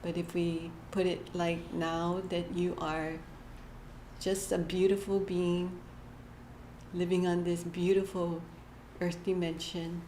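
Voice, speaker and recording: A woman giving a short inspirational church message, gentle and halting, often laughing at herself. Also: on the low side, flat delivery. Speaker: church speaker. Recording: echoey hall with faint room noise.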